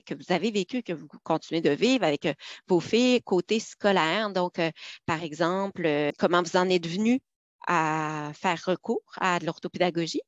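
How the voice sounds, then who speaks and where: A woman's voice: on the low side, no room sound. A woman who hosts a podcast and works as a learning specialist. She asks friendly questions and fills gaps with "euh". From French Canada. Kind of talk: conversational interview. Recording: dull, dry, lo-fi sound.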